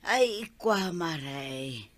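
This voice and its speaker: An actress playing an irritable wife in an Ilocano-accented radio comedy drama. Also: female voice, deep voice, animated, very slow, no echo, exaggerated voice. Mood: grumbling.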